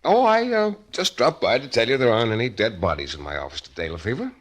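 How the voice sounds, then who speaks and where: An actor playing a wry, cocky, hard-boiled private detective in an old-time radio drama. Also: male voice, medium pitch, very animated, quick pace. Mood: teasing. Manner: wry, sardonic.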